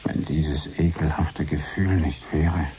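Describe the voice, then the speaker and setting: Radio play: frustrated man brooding in monologue, extremely deep, mellow tone, slight tape noise. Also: fairly even intonation, slow. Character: frustrated man trapped in his own thoughts. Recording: hissy, noisy 1980s radio recording.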